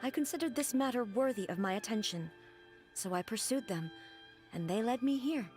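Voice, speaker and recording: Immortal ruler for a game, woman actor: solemn, regal, measured delivery, fairly high pitch. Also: animated, over music, close to an everyday voice. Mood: solemn, composed. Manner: formal, measured, stately. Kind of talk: video game voice acting.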